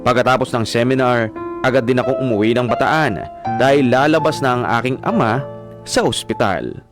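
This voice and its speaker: A man's voice: mid-range voice, normal speed, natural voice. An actor playing an earnest young son, narrating his own story in a radio drama. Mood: reflective. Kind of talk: scripted first-person drama narration. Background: guitar music.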